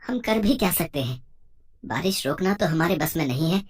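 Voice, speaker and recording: A woman voicing a humble, puzzled village farmer and husband in a moral story, with a simple, expressive delivery. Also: deep voice, moderate pace. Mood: resigned. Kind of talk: moral story cartoon dialogue.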